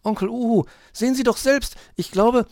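A man's voice: extremely high pitch, moderate pace, a little mellow, no room sound, clean studio sound, pitched above the performer's own voice. A man voicing an eager, earnest little animal boy in a children's story.

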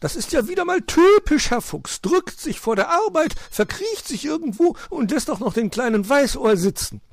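A man voicing a sharp-tongued, indignant lady magpie in a children's story. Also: very animated, moderate pace, vocal fry, no room sound, clean studio sound, heavily acted. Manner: shrill, exclaiming, complaining.

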